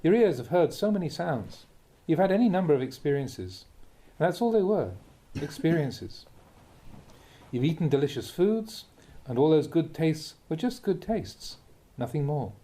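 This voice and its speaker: Mellow-voiced man reading a spiritual teaching. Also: on the high side, big pitch swings, moderate pace. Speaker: monk reading a Buddhist teaching aloud. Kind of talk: read-aloud Dhamma talk, spiritual teaching.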